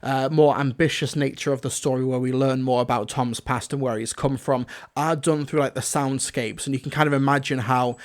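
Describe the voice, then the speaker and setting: A man's voice: medium pitch, steady tone, brisk, hardly any pauses. A man hosting a casual, off-the-cuff review stream, talking in an enthusiastic, rambling way. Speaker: reviewer, livestream host.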